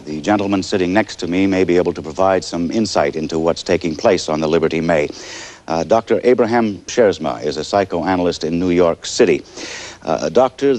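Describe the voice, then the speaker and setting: An actor playing a composed male network news anchor who speaks gravely and carefully, slightly halting. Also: low voice, a little mellow, natural voice.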